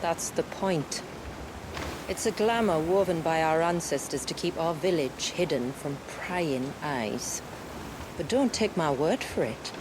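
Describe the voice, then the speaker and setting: An actress voicing a confident, wry village guide in a video game, with an assured, teasing storyteller's manner. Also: female voice, sits low, expressive, a little slow, noisy background. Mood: playful, assured. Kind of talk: dramatic video game acting.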